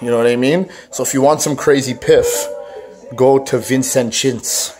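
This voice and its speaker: A man's voice: mid-range voice, fairly even intonation, moderate pace, music in the background. A man talks loosely to the camera in a mid-range voice that sits right in the middle for a man. He rambles and jokes at his own expense and swears freely along the way. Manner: rambling, profane, self-mocking. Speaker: vlogger. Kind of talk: casual joking vlog chat.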